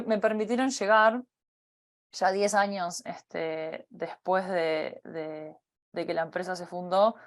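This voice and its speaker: A woman in her thirties with a Uruguayan accent, giving a personal career talk, pausing often with fillers and restarting her phrases. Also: medium pitch. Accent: Uruguayan Spanish. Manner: hesitant, many fillers and restarts. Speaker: company partner giving a talk.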